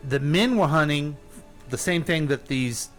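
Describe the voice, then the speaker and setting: A man hosts a chatty show in a very animated voice that swings up and down. He banters and teases as he asks questions, with music behind him. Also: a little high, unhurried. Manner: playful, teasing. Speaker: radio show host. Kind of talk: casual banter and interviewing.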